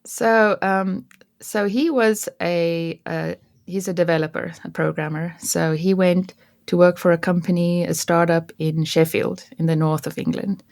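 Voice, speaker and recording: Low gravelly South African woman. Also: steady tone, unhurried, a little mellow, no echo, clean studio sound. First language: Afrikaans. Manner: chatty, restarts often, laughs easily. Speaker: guest. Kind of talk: casual interview chat.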